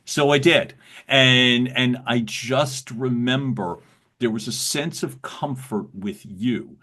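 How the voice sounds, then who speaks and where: A man hosting a podcast interview, with a loud voice and an affirming way of speaking. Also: mid-range voice, slow pace. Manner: warm, loud voice, affirming listener. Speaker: podcast host. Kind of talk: casual interview.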